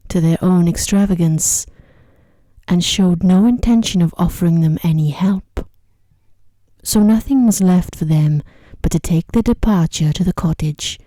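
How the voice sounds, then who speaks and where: Very dark-toned, soft-spoken woman storyteller. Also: on the low side, dry recording. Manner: hushed, unhurried, often slipping toward a breathy whisper. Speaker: storyteller, narrator. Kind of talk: ASMR bedtime story reading, soft-spoken. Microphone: close microphone.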